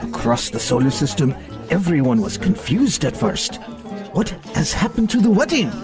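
Excitable, high-pitched teacher. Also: male voice, normal speed, over loud music. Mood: excited. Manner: exclamatory, theatrical. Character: excitable male teacher narrating to his class. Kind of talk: radio comedy acting.

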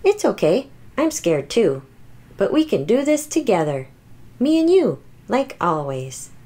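Female reader as dramatic little storybook dinosaur, anxious yet boastful, swooping expressive pitch. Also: a little slow. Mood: brave, reassuring. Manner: exaggerated, expressive, emphatic. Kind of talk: children's picture book read-aloud.

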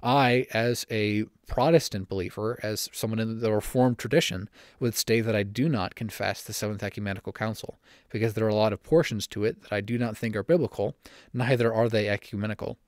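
A young man hosting a solo church-history podcast from home, slightly raspy and talking fast with frequent self-corrections. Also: lowish voice, steady tone, no echo, clean studio sound. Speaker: podcast host. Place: home room.

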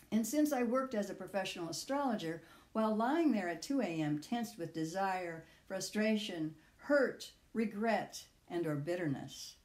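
An older woman in her sixties or beyond reads her own reflective personal essay aloud in a measured, literary way, with deliberate phrasing. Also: mid-range voice, a little mellow, roomy sound. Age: 60s or older. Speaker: author reading own audio essay.

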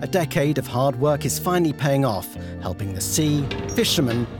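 A man's voice: medium pitch, animated, normal speed. Male documentary narrator over prominent music. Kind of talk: scripted narration.

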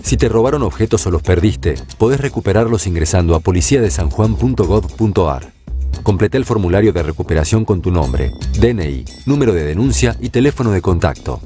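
A man with an Argentine accent reading a government public service ad as voice-over, clear and measured. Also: low-pitched, lively intonation, dark tone, soft background music. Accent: Argentine Spanish. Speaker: announcer.

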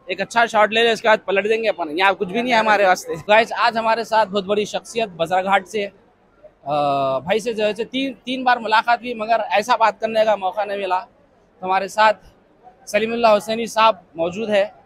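A man with a Hyderabadi accent talks into a handheld mic at a crowded expo. His voice sits high for a man, and he jokes and teases in a loose, chatty way. He laughs often. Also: pushed and bright. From Hyderabad, India. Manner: jokey, teasing, quick to laugh. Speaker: vlogger host. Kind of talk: casual vlog chat. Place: crowded indoor expo hall and outdoor food stall.

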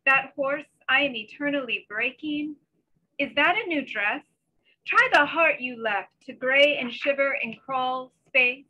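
Female poet, middle-aged, high forceful voice, unhurried delivery, slightly dull video-call sound. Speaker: poet reading own work. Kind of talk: poetry reading with informal introductions. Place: small bedroom at home. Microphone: computer mic on a video call.

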